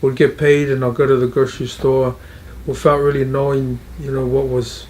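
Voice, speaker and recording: A man's voice: medium pitch, little pitch movement, normal speed, a little mellow, a little hiss or hum. A middle-aged man, earnestly sharing his experience in an interview, often repeating and restarting phrases. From Tonga, raised in New Zealand. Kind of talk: testimonial interview.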